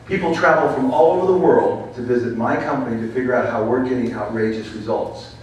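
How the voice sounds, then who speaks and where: A man running for office answering questions at a public forum, confident and forceful. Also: medium pitch, brisk. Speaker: political candidate. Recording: echoey hall from far off.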